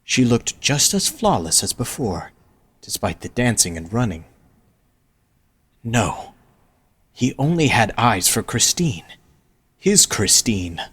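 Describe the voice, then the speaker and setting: A man's voice: mid-range voice, sing-song, takes it slowly, long pauses, a bit bright, husky. A man reading scripted narration for an audio drama as its narrator.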